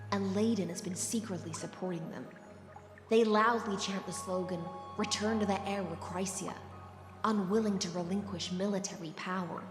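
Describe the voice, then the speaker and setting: A sea noblewoman for a game, kinda low, formal and cryptic, very echoey. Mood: cold, solemn. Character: composed, ominous sea-born noblewoman devoted to law. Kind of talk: dramatic game cutscene acting. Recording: echoey reverberant hall sound. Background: music.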